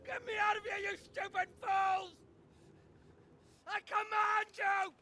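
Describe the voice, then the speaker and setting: A spoiled, cowardly general screeching panicked insults at his soldiers in a game cutscene. His voice is piercingly high and bright, held to one narrow shrill pitch. He drags the words out slowly as he yells. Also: steady tone, slight background noise, heavily acted. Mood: screaming panic. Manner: insulting, panicked shrieking demands. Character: cowardly arrogant young noble general, screeching orders. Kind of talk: video game cutscene acting.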